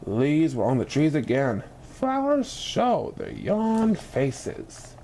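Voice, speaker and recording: A man reading a children's storybook expressively, with dramatic, deliberate phrasing. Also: a little high, wildly swooping pitch, warm tone, a little hiss or hum. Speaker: story reader.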